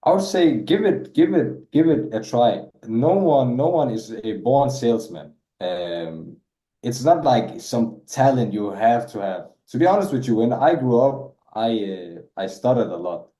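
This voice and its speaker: A man's voice: mid-range voice, animated, a little slow, dark tone, reverberant, low-quality recording. A man, answering interview questions casually and repeating words as he talks. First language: Danish. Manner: repeats words, says "bro" often. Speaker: guest, sales closer.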